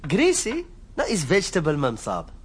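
A man's voice: fairly high pitch, huge pitch swings, moderate pace, slightly rough, exaggerated voice. A man playing a cheerful, deferential guest house servant in a radio drama, speaking eager broken English.

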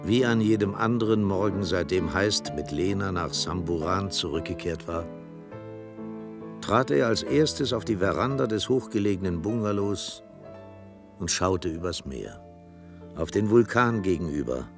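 A man's voice: low voice, expressive, a little slow. A man giving scripted literary narration as the narrator of a German radio play. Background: music.

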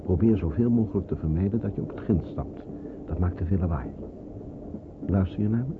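Radio play: male actor as calm, protective underground guide, very low voice, muffled noisy old recording. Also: lightly acted. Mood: firm, instructing. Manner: measured, instructing, reassuring. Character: calm, cautious resistance escort, protective and authoritative man. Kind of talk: radio drama acting.

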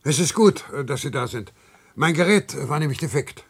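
An actor playing an earnest, gullible, duty-bound male citizen in a science-fiction radio play, hesitant and officious, with a husky voice. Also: a little high, animated, normal speed. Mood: agitated, aggrieved.

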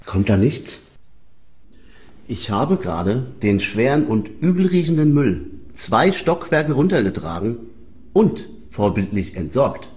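Needy male blogger, whiny and puffed-up, highly animated intonation, comic radio play. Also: a little slow. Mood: indignant, boastful. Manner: whiny, self-important. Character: needy, self-pitying blogger addicted to online applause. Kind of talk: satirical radio play dialogue.

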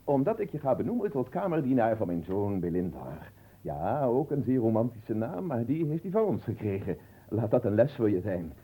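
A man acting in a radio drama as a pompous, fussy middle-aged marquis with a condescending, deliberate manner. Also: mid-range voice, sing-song, warm tone, breathy edge.

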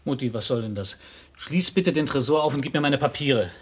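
Fast-talking, husky defiant son. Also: male voice, mid-range voice, expressive. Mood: curt. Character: defiant grown son, fed up and stubborn. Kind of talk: German radio drama acting.

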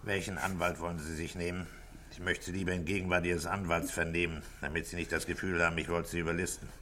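An actor playing an authoritative, patient police chief inspector in a 1960s German radio play, with a terse, probing, commanding way of talking. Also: male voice, deep voice, flat delivery, fast talker, bright, forceful tone, slight background noise.

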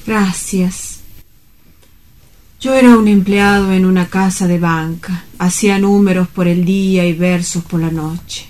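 Casting: woman to play lovelorn young male clerk, radio play, soft dark tone, unhurried, poetic and earnest. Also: on the low side, steady tone, natural voice. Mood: wistful. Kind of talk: dramatic radio-play monologue.

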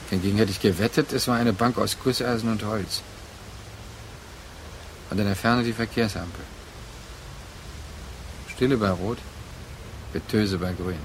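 An actor playing a brooding man haunted by a former lover, speaking in a measured, deliberate way in a radio drama. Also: fairly low pitch, low-quality recording. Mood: brooding, remembering. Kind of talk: radio drama acting, slow reflective monologue. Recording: faint background noise.

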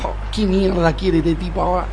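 Man playing whiny high-voiced Argentine rich kid. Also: flat delivery, fairly quick, a little mellow, slight background noise, low-quality recording. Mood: angry, panicked. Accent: Argentine (Rioplatense). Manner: whining, stammering, repeats phrases, snobbish. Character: spoiled, whiny rich young businessman, snobbish and exasperated. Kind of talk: radio comedy-drama acting.

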